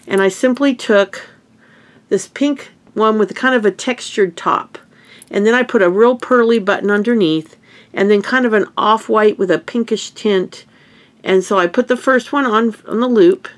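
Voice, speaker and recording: A woman with a stuffy, sniffly nose, chatting through a craft tutorial at her home table, rambling and correcting herself as she works. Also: medium pitch. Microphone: camera over hands on table.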